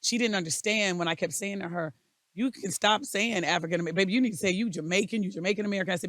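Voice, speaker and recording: A woman's voice: mid-range voice, no echo. A middle-aged woman hosting a podcast in a studio, chatting casually, teasing and firing off questions. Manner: lively, teasing, lots of questions. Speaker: podcast host. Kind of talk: casual chat.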